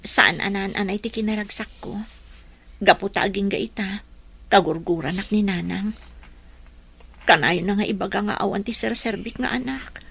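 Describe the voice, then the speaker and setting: An actress playing a hurt, unloved young adult daughter in an Ilocano radio drama. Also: female voice, mid-range voice, moderate pace, creaky, pauses often, a bit bright, breathy edge. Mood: sorrowful.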